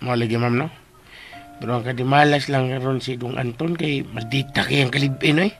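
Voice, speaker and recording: A man's voice: medium pitch, steady tone, normal speed, fairly bright tone, soft background music, lightly acted. A Visayan Filipino man playing a cheeky, devoted household servant in a comedic radio drama, stammering and clowning as he wheedles. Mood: gossipy. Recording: radio drama recording with faint background noise.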